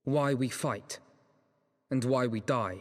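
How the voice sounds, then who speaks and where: An actor playing a weary, reflective male adventurer in a video game, husky and sombre, with measured delivery. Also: medium pitch, in a large hall, natural voice. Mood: wistful, sombre. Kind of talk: dramatic game voice acting.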